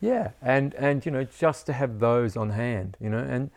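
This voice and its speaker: A man's voice: mid-range voice, unhurried, creaky, a little mellow, no echo. A man, an herbalist teacher chatting casually in an interview, rambling and saying "you know" often.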